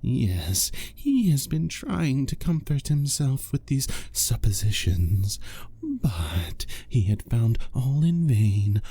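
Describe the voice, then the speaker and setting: A man playing an unhinged, obsessive murderer who narrates a gothic horror tale with menacing, deliberate, drawn-out phrasing. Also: on the low side, dark tone, slight background noise.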